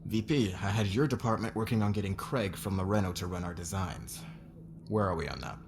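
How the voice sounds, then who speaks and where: A man's voice: sits low, a little fast, natural voice. A man playing a curt, sarcastic, demanding fashion magazine boss in a scripted audio roleplay. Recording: noisy background ambience.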